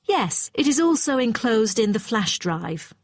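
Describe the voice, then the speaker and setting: Woman acting precise hotel client, higher-pitched voice. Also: very animated, measured pace, heavily acted. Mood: businesslike, firm. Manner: formal, precise, matter-of-fact. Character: brisk, exacting female hotel client requesting brochure revisions. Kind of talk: scripted exam listening dialogue.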